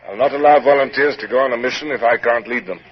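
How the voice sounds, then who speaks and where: An actor playing a resolute British naval captain in a 1950s radio drama, crisp and authoritative as he narrates and gives orders. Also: mid-range voice, fast talker, natural voice. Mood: stern. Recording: noisy muffled old radio recording.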